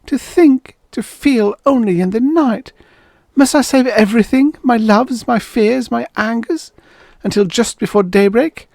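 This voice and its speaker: Guy putting on a fragile anguished wife, way up high, pleading and strained, audiobook story. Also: measured pace, creaky, a little mellow, dry recording, heavily acted. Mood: desperate, anguished. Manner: pleading, strained, high-pitched put-on female voice.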